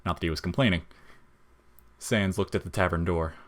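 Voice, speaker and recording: Low-voiced man narrating a story. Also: a little fast. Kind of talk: scripted narration of fan fiction audio story.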